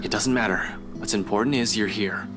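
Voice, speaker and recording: A man's voice: lowish voice, a little fast, music in the background, lightly acted. An actor playing a tense, curt young man in a visual novel game. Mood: relieved.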